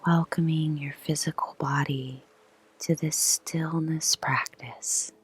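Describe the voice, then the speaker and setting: A woman guides a meditation almost entirely in a whisper. Her voice is deeply breathy and husky, sitting low and nearly flat in pitch. She takes her time, unhurried, with long gentle pauses. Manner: soft whispered delivery; slow, soothing pacing with long pauses.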